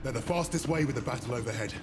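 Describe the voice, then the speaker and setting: A man's voice: medium pitch, rapid-fire, close to an everyday voice. An actor playing a resolute, loyal soldier who leads his squad in a video game, earnest and commanding. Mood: cool. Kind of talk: cinematic video game acting. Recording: loud music bed behind.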